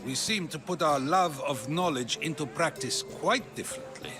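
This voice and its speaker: An actor playing a probing, articulate ancient Athenian philosopher in a video game, musing and questioning. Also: male voice, on the high side, normal speed, natural voice. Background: game music.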